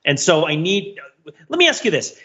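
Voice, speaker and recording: Middle-aged American male podcast co-host, bright forceful voice, rambling and self-questioning, on slightly muffled audio. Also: fairly high pitch, very animated, moderate pace. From United States. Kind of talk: casual podcast chat.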